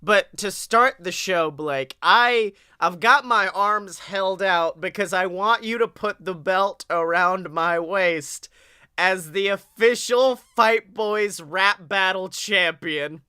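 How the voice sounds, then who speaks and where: A young man hosting a casual wrestling podcast in an excitable, playful, hyped-up way. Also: high voice, slow pace, clean tone, no echo, clean studio sound. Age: young adult. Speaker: podcast host. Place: small room at home, on a video call.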